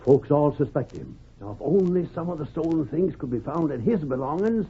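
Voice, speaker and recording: Dark-toned, scheming Western swindler. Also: male voice, lively intonation, brisk, husky, exaggerated voice. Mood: conspiratorial. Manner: low-key, conspiratorial. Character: sly, scheming snake-oil swindler. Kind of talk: old-time radio western acting. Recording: dull old radio recording, faint noise.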